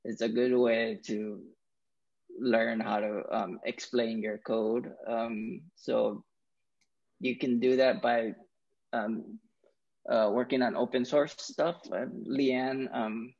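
A man in his thirties talks casually and haltingly, filling pauses with ums. His voice is husky with a gravelly fry. The sound is dull and lo-fi, as heard over a video call. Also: medium pitch, steady tone, a little mellow. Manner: hesitant, many ums. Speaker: meetup organizer. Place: living room at home. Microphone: earbuds on video call.

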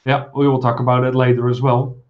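A man in his forties hosting a live stream interview over a video call, talking in a friendly, halting way with many fillers. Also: medium pitch, level tone, lo-fi sound. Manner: friendly, halting, many uhs, laughs easily. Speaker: host. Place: small room, indoors.

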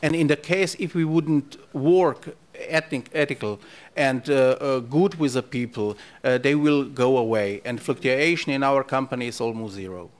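Hesitant panelist man, full of pauses. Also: medium pitch. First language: Slovene. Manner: hesitant, many fillers and restarts.

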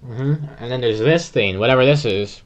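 A man's voice: mid-range voice, moderate pace, muffled recording. A young man giving casual gameplay commentary, cheering on a teammate. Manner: excited, urging on a teammate. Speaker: gamer.

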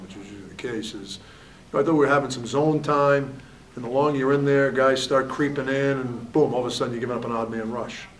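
A man's voice: mid-range voice, fairly bright tone, far from the mic, slight background noise, low-quality recording. A man in his forties, a head hockey coach, answering questions candidly at a postgame press conference and often saying "you know". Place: press room at a backdrop. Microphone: table mic, at a distance.

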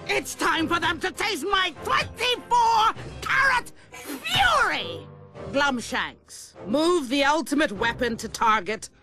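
A woman's voice: high voice, sing-song, slow pace, exaggerated voice. A woman playing a haughty, tyrannical queen villainess in a video game, imperious and melodramatic. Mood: outraged. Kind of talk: video game cutscene acting. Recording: music behind the voice.

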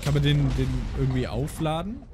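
A man's voice: fairly high pitch, a little fast, noisy background. A young man giving casual, goofy commentary over a game and singing silly rhymes. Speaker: let's play host.

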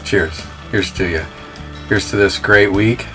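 Guy doing a snooty wine snob voice, super deep, music going underneath. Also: sharp tone. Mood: pompous. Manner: mock-snooty, slow and deep. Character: man doing a pompous wine connoisseur.

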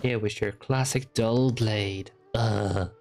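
A man's voice: fairly low pitch, steady tone, slow, gravelly. A man streaming a game, giving casual commentary and reading dialogue aloud. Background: soft game music.

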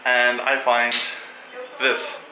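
A man's voice: medium pitch, monotone, extremely slow, echoey room, over loud music, poor audio. A man in his twenties talking casually into a handheld camera as a vlogger, wry and self-mocking. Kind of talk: casual vlog chat. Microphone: handheld camera at arm's length.

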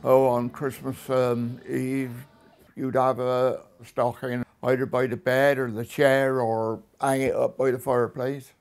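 A man's voice: mid-range voice, level tone, measured pace, a little mellow, smooth. An older man reminiscing about childhood Christmases in a seated interview in a living room.

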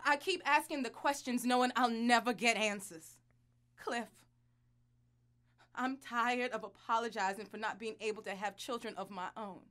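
Audio drama wife, bitter and devout, intense delivery, higher forceful voice, played by an actress. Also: female voice, lightly acted. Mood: bitter, incensed. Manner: intense, accusing, deliberate. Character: bitter, anguished wife and reluctant adoptive mother, devout. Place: small recording studio. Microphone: studio condenser mic.

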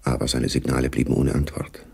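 A man narrating a spy radio drama gravely, with a deep, gravelly voice. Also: level tone, quick pace, a little mellow, exaggerated voice.

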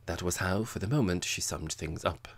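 Low-voiced man narrating an audiobook. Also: moderate pace, husky. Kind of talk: scripted literary narration.

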